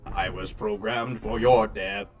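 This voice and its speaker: A man putting on a menacing machine assassin in a radio drama, low-pitched and speaking each line slowly and flatly. The killer sounds coldly programmed and utterly deliberate. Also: vocal fry, faint music behind, heavily acted. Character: cold mechanical assassin, programmed killer, male.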